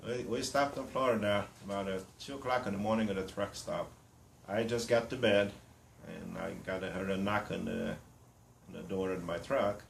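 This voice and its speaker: A man in his fifties from Romania with a Romanian accent, introducing himself bluntly and boastfully in broken English with many pauses and fillers. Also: on the low side, steady tone, creaky, roomy sound, a little hiss or hum. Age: 50s. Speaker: reality show applicant. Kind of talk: casual self-introduction to camera.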